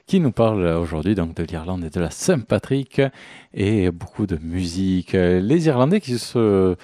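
A man hosting a radio show with a deep, low voice and an easygoing manner. His voice comes across dry and close, with little room sound, and he nudges his guest along with short replies. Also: animated, measured pace, dark tone, no room sound. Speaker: radio host. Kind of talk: radio interview. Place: radio studio.

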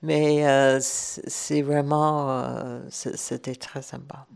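A woman's voice: extremely low pitch, little pitch movement, takes it slowly, gravelly, darkish tone, clean tone. A woman from Ireland, speaking French as an as a radio guest, hesitant and searching for words. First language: English. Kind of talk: relaxed radio chat.

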